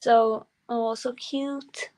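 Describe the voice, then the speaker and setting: A woman's voice: on the high side, fairly even intonation, extremely slow, darkish tone. A woman in her twenties with a Norwegian accent, a guest singer in a casual interview, chatty and giddy with lots of fillers. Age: 20s.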